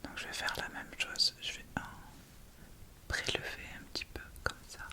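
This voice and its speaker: A woman giving an informal ASMR makeup tutorial, talking through each step in a hesitant, unhurried way.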